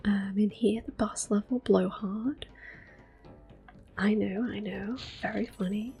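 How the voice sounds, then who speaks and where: A woman hosting ASMR gameplay, talking softly and gently with quiet laughs. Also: mid-range voice, fairly even intonation, takes it slowly, creaky, soft background music. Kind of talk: whispered ASMR gameplay commentary.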